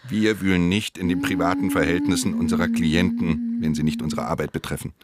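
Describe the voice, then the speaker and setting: An actor playing a firm, formal office official in a German radio play. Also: male voice, low-pitched, little pitch movement, a little fast, heavy background noise, lightly acted. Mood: firm, defensive. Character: firm, indignant male compensation office official.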